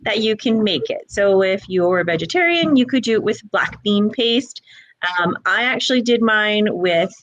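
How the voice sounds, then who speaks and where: A woman's voice: medium pitch, animated, normal speed, fairly bright tone, highs cut off, a little hiss or hum. A woman from Alberta, Canada, a recipe creator co-hosting a live cooking stream, chatty and prone to repeating words as she starts a thought. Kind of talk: live-streamed casual chat.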